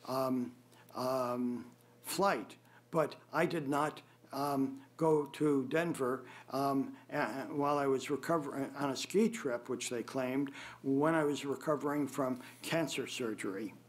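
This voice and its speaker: Halting older man giving testimony. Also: mid-range voice. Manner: halting, hesitant, with frequent long pauses and throat clearing. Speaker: appellant testifying at a public hearing. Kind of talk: formal testimony, unscripted rebuttal. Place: government board meeting room, indoors. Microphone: gooseneck table microphone.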